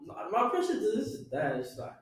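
A man's voice: sits high, wildly swooping pitch, fast. A young man in a casual group chat, slangy and teasing, recorded at a distance in an echoey room.

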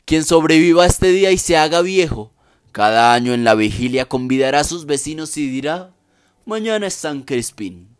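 A man performing a dramatic Shakespeare monologue as a warrior king rallying his army, solemn and declamatory with weighty pauses. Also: fairly high pitch, animated, clear, resonant tone, dry recording, studio quality, natural voice. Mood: rousing.

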